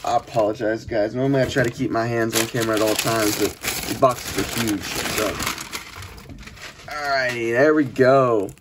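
A man's voice: medium pitch, normal speed. A young man giving chatty, informal commentary as he hosts an unboxing video in a small room. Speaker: host of a trading card unboxing channel. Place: small indoor room at a desk. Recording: noisy room, crinkling packaging handling sounds. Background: clicks, crumpling and crinkling of packages.

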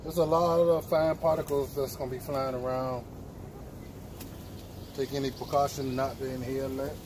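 A man's voice: medium pitch, normal speed. Man chatting while cleaning a coop outdoors. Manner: relaxed, talks while raking out a coop. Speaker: homesteader vlogger. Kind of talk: casual how-to chat while working. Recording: noisy outdoor camera audio.

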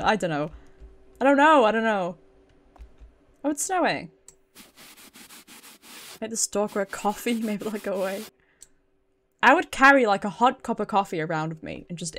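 A British woman doing casual, chatty game stream commentary, swearing freely and reacting aloud as she plays. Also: medium pitch.

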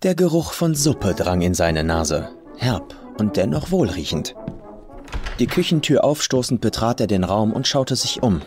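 Low-voiced man narrating horror, animated. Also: measured pace. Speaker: professional voice actor, audiobook reader. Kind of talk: scripted horror story narration. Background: atmospheric music.

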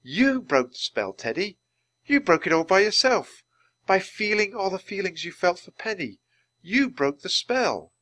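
A man's voice: high-pitched, normal speed, few pauses, fairly bright tone, no room sound, voice pushed up. A man voicing a kind, eager young girl in a children's audiobook, with a caring, emphatic manner. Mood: excited.

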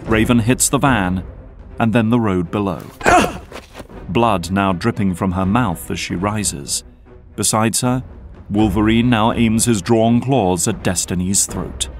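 A man's voice: lowish voice, measured pace, soft background music. A man delivering scripted narration for an audio drama, as its narrator.

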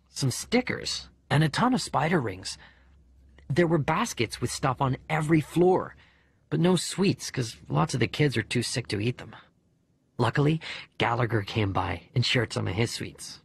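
A man voicing a bereaved young boy who narrates his diary letters in an expressive, conversational audiobook reading. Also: medium pitch, vocal fry, breathy edge, no echo. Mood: chatty.

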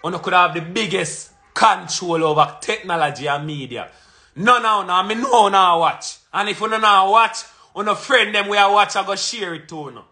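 Jamaican man in his thirties, home phone vlog, high forceful voice, confrontational. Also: moderate pace. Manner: emphatic and confrontational, punches out lists and repeats phrases. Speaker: vlogger. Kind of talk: fiery monologue to camera. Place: small indoor room at home. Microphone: phone camera at arm's length.

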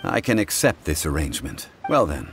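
A man playing a composed, stern scholar in a video game, speaking in a measured, formal and authoritative way. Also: very deep voice, sing-song, gravelly, exaggerated voice. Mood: calm, formal. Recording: game dialogue with background music.

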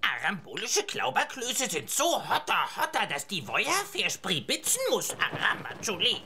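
A woman's voice: mid-range voice, wildly swooping pitch, moderate pace, sharp tone, a little hiss or hum, heavily acted. A woman voicing a vain, chattering little magic owl princess in a children's audio drama, full of showy, playful exclamations. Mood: boastful.

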